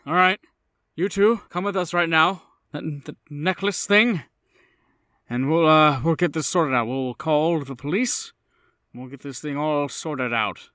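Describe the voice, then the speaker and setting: A man voicing an obliging, slightly flustered male campus security guard in a tabletop game, speaking informally and haltingly. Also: a little high, pushed and bright, no echo, studio quality, pitched above the performer's own voice. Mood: brisk.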